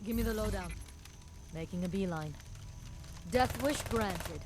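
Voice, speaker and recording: An actress playing a tough, determined resistance commander in a strategy game, speaking in terse, commanding lines. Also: female voice, mid-range voice, measured pace, music in the background, close to an everyday voice. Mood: focused, wary. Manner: terse, commanding, battle-ready. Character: tough, determined woman resistance commander in a post-apocalyptic war. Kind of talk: strategy game hero dialogue and unit barks.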